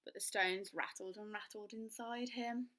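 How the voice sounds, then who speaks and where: A woman's voice: mid-range voice, steady tone, moderate pace, sharp tone. A woman reading a children's storybook aloud, narrating expressively with dramatic emphasis.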